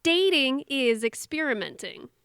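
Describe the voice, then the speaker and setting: A woman's voice: sits high, very animated, unhurried, a bit bright, no echo, studio quality, exaggerated voice. A young woman acting in an audio drama as a sarcastic, defiant daughter back home with her family, dryly exasperated.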